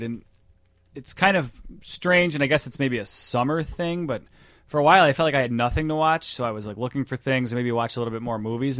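A man's voice: mid-range voice, a bit bright, no echo, studio quality. A man co-hosting a podcast, chatting informally in a loose, rambling way. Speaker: podcast co-host. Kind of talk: casual chat.

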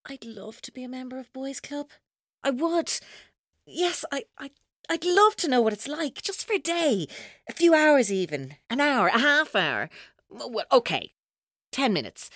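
An unhinged female captor in a radio play, swooping widely in pitch. She is taunting and theatrical, turning from playful to bitterly intense, heard very close and dry. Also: big pitch swings, unhurried, fairly bright tone, dry recording, heavily acted. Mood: wistful, playful. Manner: theatrical, mocking, mercurial, intense. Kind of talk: audio drama acting.

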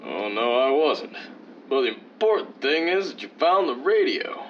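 Male actor as grandiose 1940s radio war hero, boastful and sweeping in pitch, on a muffled, noisy old recording. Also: very animated, moderate pace, lightly acted. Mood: confident. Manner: grandiose, declamatory, reassuring. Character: bold, boastful wartime radio hero, grown man. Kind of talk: 1940s old-time radio serial acting.

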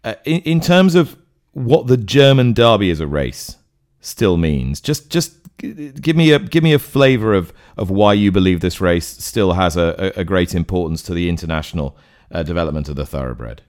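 A man's voice: sits low, animated, moderate pace, a little mellow. A man hosting a racing podcast, asking long, rambling questions with restarts. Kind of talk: interview.

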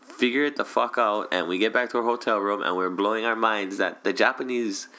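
A man's voice: on the low side, brisk, sharp tone. A young man in casual chat with a friend, swearing freely as he tells a story.

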